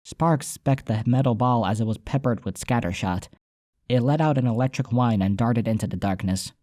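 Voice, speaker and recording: A man's voice: mid-range voice, normal speed, dark tone, dry recording, studio quality. A man reading a scripted first-person story as an audiobook narrator, with soundtrack music behind him.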